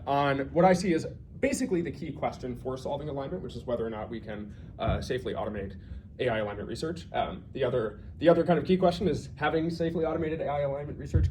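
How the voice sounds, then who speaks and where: A man's voice: mid-range voice, darkish tone. A man speaking as a guest researcher, giving an informal technical lecture to colleagues and often rewording himself as he goes. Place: talk to a small in-house audience. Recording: faint background noise.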